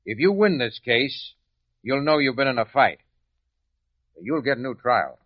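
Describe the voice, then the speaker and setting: An actor in an old-time radio drama playing a proud, combative prosecutor who speaks formally and deliberately. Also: male voice, mid-range voice, lively intonation. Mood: stern, resolute. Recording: dry, muffled vintage radio recording.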